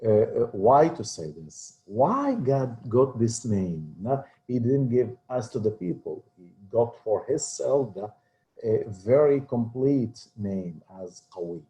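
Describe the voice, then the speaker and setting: A man's voice: medium pitch, sing-song, slow pace, mellow, low-quality recording. A man teaching a religious class in an informal, hesitant way, with frequent fillers. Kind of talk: informal lesson talk.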